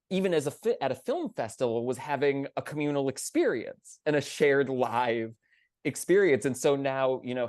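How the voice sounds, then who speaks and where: A man's voice: mid-range voice, darkish tone, no room sound, studio quality. Enthusiastic man chatting on a panel. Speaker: theatre panelist. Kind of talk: casual panel discussion.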